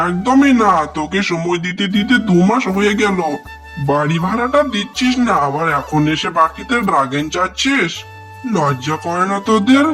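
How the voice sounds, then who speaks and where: A man voicing a harsh, scornful tigress landlady in a cartoon, berating in a scolding manner. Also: high-pitched. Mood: angry, dismissive. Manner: loud, scornful, berating with rhetorical questions. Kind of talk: cartoon voice acting.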